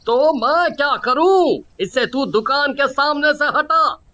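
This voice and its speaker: A man playing an arrogant, stingy cartoon eatery owner. His voice is very high-pitched and unhurried, with a bossy, grumbling manner and drawn-out hums. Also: pushed and bright, heavily acted. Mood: callous, ordering. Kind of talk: Hindi cartoon moral story acting.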